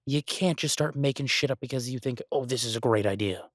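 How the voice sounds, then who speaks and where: Exasperated chef boyfriend, male actor, mid-range, grumbling and halting, intimate roleplay monologue, very dry recording. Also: mid-range voice, a bit bright, dry recording, lightly acted. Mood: exasperated, ranting. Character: exasperated restaurant chef, affectionate boyfriend venting to partner. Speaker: audio roleplay performer.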